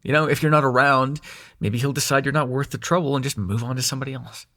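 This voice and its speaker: A man playing a snarky but secretly soft-hearted young demon prince in an intimate audio roleplay, teasing the listener and turning hesitant when showing affection. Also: mid-range voice, steady tone, quick pace, natural voice. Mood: teasing, uneasy.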